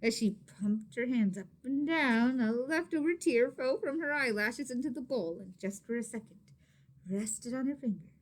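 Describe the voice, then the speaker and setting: A woman reading a story aloud to children expressively, with dramatic emphasis and hushed asides to her listeners. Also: a little high, lively intonation, moderate pace. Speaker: teacher or reader of a children's story.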